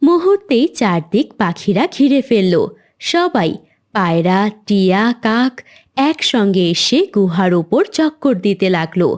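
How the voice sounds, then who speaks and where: A woman's voice: mid-range voice, sing-song, unhurried, darkish tone. A woman narrating a cartoon fairy tale in a scripted storytelling style.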